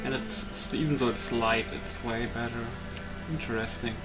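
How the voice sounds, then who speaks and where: A man's voice: mid-range voice, steady tone, normal speed, rough recording. A man giving casual gameplay commentary, thinking aloud in short, broken remarks. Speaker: gamer, video host. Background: game music.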